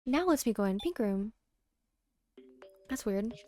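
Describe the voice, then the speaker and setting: A woman's voice: a little high, animated, slow, warm tone. A woman streamer casually reading a game aloud as she plays through it. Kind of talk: game playthrough narration, casual.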